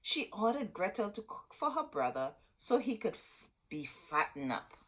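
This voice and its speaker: A woman reading a children's story aloud as host, expressive and dramatic, drawing out words for emphasis. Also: medium pitch, moderate pace.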